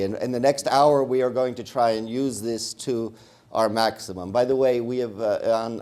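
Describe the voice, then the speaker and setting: A man's voice: medium pitch, a little mellow. A man chairing an academic conference session, talking informally with fillers. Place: conference hall.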